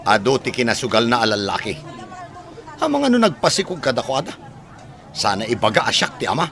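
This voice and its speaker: A man's voice: medium pitch, wildly swooping pitch, a little fast, fairly bright tone, a faint crowd, a little hiss or hum. A man with an Ilocano Filipino accent playing a callous, evasive village man who denies fathering a baby, in a radio drama. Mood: dismissive, defensive.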